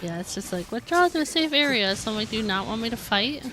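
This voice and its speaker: A woman's voice: a little high, expressive, quick pace, poor audio. A woman game streamer giving chatty, informal, self-deprecating live commentary as she plays. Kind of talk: casual live gameplay commentary.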